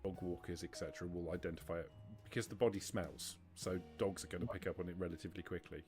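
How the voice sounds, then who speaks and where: A man's voice: low voice, fairly even intonation, fast talker, a little mellow. A man narrating a tabletop roleplaying game as game master. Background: music.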